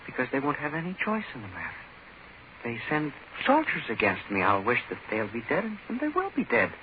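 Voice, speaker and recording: An actor playing a menacing, power-drunk ordinary little man in 1940s radio horror, speaking haltingly and insistently. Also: medium pitch, huge pitch swings. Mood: gloating, commanding. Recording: muffled, noisy old 1940s radio recording.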